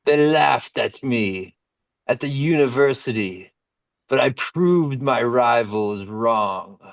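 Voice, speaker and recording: A man playing a grandiose mad scientist bent on world domination in an amateur comedy sketch, declaiming his plans deliberately. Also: medium pitch, animated. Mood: grandiose, scheming. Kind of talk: amateur radio comedy sketch read-through. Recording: roomy echo, distant microphone.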